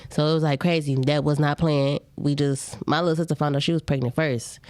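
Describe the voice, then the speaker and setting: A woman's voice: low voice, fairly even intonation, brisk, darkish tone, no echo. A woman telling stories in casual podcast chat, chatty and acting out what people said. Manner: chatty storyteller, acts out quotes. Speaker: podcast guest.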